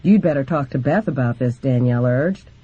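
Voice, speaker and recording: A man's voice: medium pitch, brisk, dark tone, husky. A man reading a memoir aloud as scripted narration, in a dull lo-fi recording. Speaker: reader of a book.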